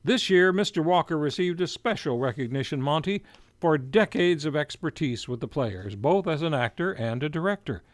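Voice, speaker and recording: A man's voice: a little high, expressive, normal speed, no room sound. A man hosting a radio show from a studio, reading a script in a formal, theatrical, emphatic announcer style. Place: radio studio, live broadcast.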